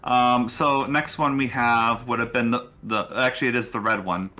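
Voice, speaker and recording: A man's voice: medium pitch, steady tone, normal speed, sharp tone. A man narrating a tabletop game as game master, improvising and talking players through rules and turns.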